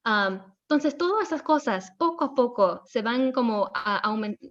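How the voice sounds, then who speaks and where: A woman journalist speaks in a thoughtful way, pausing at times to search for the right word. Her voice is fairly high, and the recording sounds dull and lo-fi. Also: lively intonation. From Belgium and El Salvador. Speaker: journalist guest. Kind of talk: casual podcast conversation.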